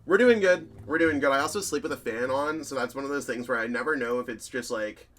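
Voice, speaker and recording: A man with a slightly hoarse voice, hosting a casual, rambling commentary over card game deck lists, full of fillers and self-corrections. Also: mid-range voice, fast talker, a bit bright, soft background music.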